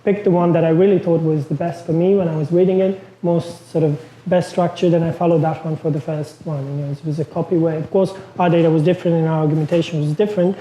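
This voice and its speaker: A man's voice: a little high, steady tone, fast talker, few pauses, dark tone. Chatty Croatian-born man in echoey hall. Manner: chatty, loose phrasing with fillers. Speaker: lecturer. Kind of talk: conference talk. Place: lecture room. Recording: echoey lecture room.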